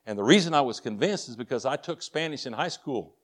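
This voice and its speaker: A man's voice: medium pitch, lively intonation, fairly quick. An older man with a Texas drawl preaches to a congregation, weaving folksy personal stories into his sermon. He talks earnestly, often restarting and repeating words as he goes. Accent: Texan. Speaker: missionary preacher.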